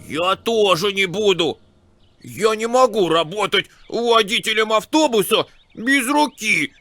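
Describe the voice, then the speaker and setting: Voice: medium pitch, animated, unhurried, sharp tone, exaggerated voice. An actor voicing a stubborn, contrary little boy in a children's cartoon.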